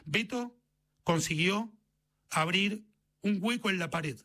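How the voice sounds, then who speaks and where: Bright, high-voiced Argentine male narrator. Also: slow. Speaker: narrator reading a short story. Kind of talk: scripted literary narration.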